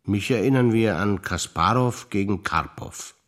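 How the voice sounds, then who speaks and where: An older man performing scripted stage dialogue, measured and reflective, often sinking to hushed low phrases. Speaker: stage actor.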